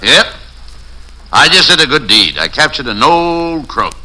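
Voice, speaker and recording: An actor playing an excitable, gullible police lieutenant, the animated straight man in an old-time radio comedy. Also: fairly high pitch, unhurried, exaggerated voice. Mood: pleased. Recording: noisy, crackling vintage radio recording.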